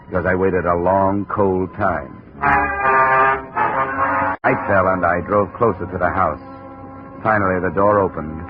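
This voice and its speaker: A low, hard-boiled male investigator, played by an actor in an old radio drama. He speaks in dry, terse, wry lines. The vintage recording is muffled, with faint music. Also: close to an everyday voice. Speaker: lead actor and narrator of a radio detective series. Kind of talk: 1950s radio detective drama acting.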